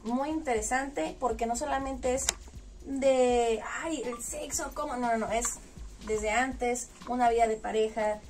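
A woman's voice: on the high side, steady tone, unhurried, darkish tone. A woman in her thirties with a northern Mexican accent, hosting a casual, chatty interview. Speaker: interviewer and host. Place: small indoor lounge room in a club. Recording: distant mic, music in background.

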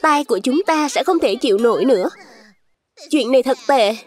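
A woman's voice: sits high, lively intonation, normal speed, a little mellow. A woman voicing a small childlike fruit creature, earnest and outspoken, in a children's cartoon dub. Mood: concerned.

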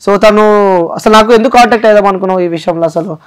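A man's voice: high voice, quick pace, clear, resonant tone. A man with a Telangana accent hosting an interview, explaining emphatically and repetitively with English words mixed in. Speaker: interview host.

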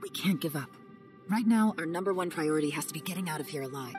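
Low-voiced cool spy woman, calm and measured, game music behind. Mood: grave. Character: cool, secretive young woman agent. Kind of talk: game cutscene voice acting.

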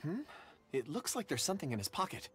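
An actor playing a gentle, polite young scholar with a soft, courteous voice. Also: male voice, fairly high pitch, big pitch swings, fast talker. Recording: game audio with faint ambience.

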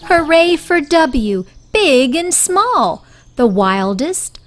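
Lady reading kids story, really slow n expressive, voice goes up and down. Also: high voice, faint music behind. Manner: deliberate, animated for young children. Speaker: children's story reader. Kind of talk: slow expressive picture-book read-aloud.

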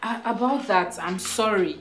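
A woman's voice: mid-range voice, a little slow, lightly acted. A woman with a Nigerian accent acting a pushy, coaxing wife in a screen drama, insistent in the way she talks. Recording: echoey room with faint noise.

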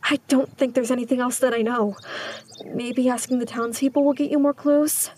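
Woman playing a hesitant, higher-voiced small-town schoolteacher. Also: steady tone, moderate pace, darkish tone, husky. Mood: uneasy, guarded. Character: reserved, uneasy female small-town schoolteacher. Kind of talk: audio drama dialogue. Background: music.

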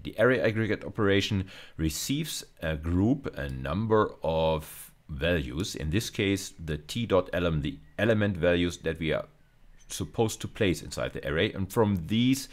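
Male university lecturer, middle-aged, deep voice, lively swings in pitch, methodical explainer. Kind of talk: explanatory lecture over slides.

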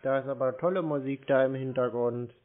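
A man's voice: medium pitch, steady tone, fairly quick. A man talking on the phone as a prank caller posing as a customer, hesitant and rambling with a feigned naivety. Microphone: telephone. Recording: muffled narrow phone line.

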